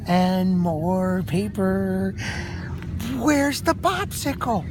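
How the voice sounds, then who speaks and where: A man's voice: high voice, expressive, very slow. An older man improvising a story for a young child, in simple repetitive phrases with questions to the listener. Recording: noisy ambient recording with vehicle sounds.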